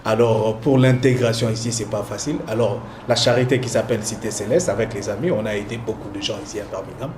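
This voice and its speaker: A man from Congo being interviewed as a community entrepreneur, speaking in a measured way, listing his roles. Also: lowish voice. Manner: measured, speaks in lists, uses sayings. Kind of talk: interview answers. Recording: echoey room with clear noise.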